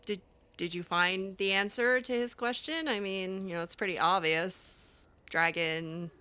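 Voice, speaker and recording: A woman's voice: on the low side, bright, forceful tone, smooth. A woman improvising in character over a fantasy game, speaking casually with a hesitant, sassy manner. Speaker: game streamer roleplaying her character.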